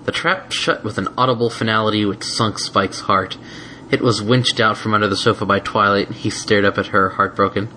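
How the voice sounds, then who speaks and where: A man's voice: on the low side, fairly even intonation, normal speed, sharp tone, slight background noise, rough recording. A man reading a fan fiction story aloud as scripted narration.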